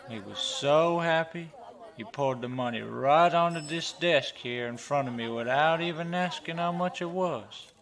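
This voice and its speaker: A man's voice: on the high side, measured pace, a bit bright, a faint crowd. A man acting in an audio drama as a sly old pawnbroker with a folksy, teasing, gossipy way of talking. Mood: smug.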